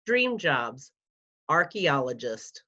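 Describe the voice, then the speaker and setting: A woman's voice: low voice, sing-song, slow, pushed and bright. A woman reading a scripted article aloud for children, with careful, enunciated classroom delivery. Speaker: school media specialist reading an article aloud to pupils. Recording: dull, lo-fi sound.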